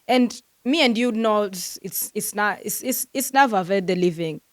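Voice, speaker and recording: A woman's voice: medium pitch, a little slow, no room sound, clean studio sound. A woman hosting a football transfer news vlog, talking casually and animatedly to her viewers with lots of fillers, venting like a frustrated fan.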